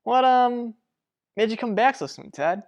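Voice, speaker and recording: A woman's voice: on the high side, expressive, measured pace, a little mellow, dull audio. A woman playing a level-headed, exasperated, protective sibling in an old-fashioned radio soap opera, matter-of-fact and chiding. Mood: concerned.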